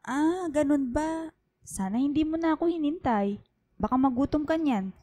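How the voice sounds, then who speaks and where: A woman playing a busy, affectionate working mother in a radio drama, speaking in a gentle, apologetic way. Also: sits high, normal speed, natural voice. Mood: gently apologetic.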